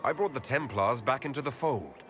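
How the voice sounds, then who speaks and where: An actor playing a steady, plain-spoken leader in a fantasy video game, composed and direct. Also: male voice, medium pitch, normal speed, soft background music, lightly acted. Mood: firm. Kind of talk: video game dialogue acting.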